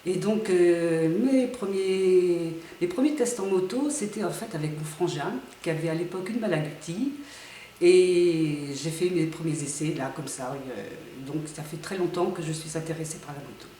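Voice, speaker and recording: A woman's voice: fairly low pitch, normal speed, mellow, a little hiss or hum. A woman in her late sixties, a motorcyclist answering interview questions with many hesitant fillers. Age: 60s. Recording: roomy, distant mic.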